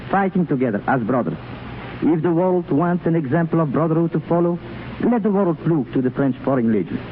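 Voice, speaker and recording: Man, old-time radio interviewee, highish voice, earnest declarations, slightly stilted reading, faint hiss, narrow vintage sound. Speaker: former French Foreign Legionnaire, radio guest. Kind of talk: semi-scripted 1930s radio interview. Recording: scratchy, tinny 1937 radio broadcast.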